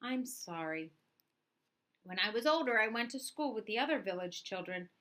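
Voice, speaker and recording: A woman reading a picture book aloud as a storyteller, with expressive, deliberate phrasing. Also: a little high. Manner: dramatic, deliberate phrasing with acted dialogue. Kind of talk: expressive children's read-aloud narration.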